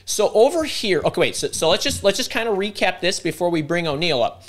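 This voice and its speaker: Middle-aged guy running a webcam workshop, kinda high voice, jumps around and restarts himself a lot. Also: brisk. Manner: animated and self-interrupting, thinking aloud. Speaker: career coach hosting a live workshop. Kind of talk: live workshop coaching. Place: home room indoors. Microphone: video call webcam.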